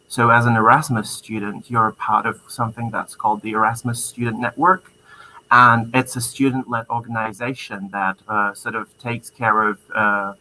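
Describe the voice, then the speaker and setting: A man's voice: on the low side, pushed and bright, a little hiss or hum, low-quality recording. A young man from the Czech Republic with a Czech accent, talking about his studies as a guest, hesitant with many fillers. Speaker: student guest. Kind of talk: casual interview answers.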